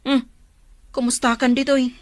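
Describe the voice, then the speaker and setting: An inquisitive, supportive young female friend from an audio drama , acted by a woman. Her voice is fairly high and the sound is dry. She has an Ilocano accent and puts her questions expressively. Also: little pitch movement, measured pace, dry recording. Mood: curious, concerned.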